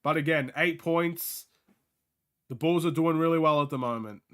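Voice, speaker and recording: A young Australian man with a light accent talks through a live basketball watchalong, reacting excitably and chatting with viewers. His voice sits a bit high for a man, with a bright, forceful edge. He laughs easily and keeps the commentary loose and casual. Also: normal speed. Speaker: streamer hosting a game watchalong.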